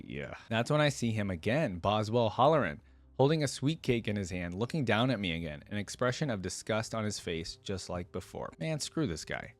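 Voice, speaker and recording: Low-voiced man reading and reacting. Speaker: reaction video host. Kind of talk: reading a story aloud with casual reaction asides.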